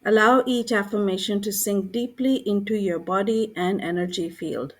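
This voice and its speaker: Calm gentle lady doing guided meditation, medium pitch, short unhurried phrases w pauses. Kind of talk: scripted guided meditation narration.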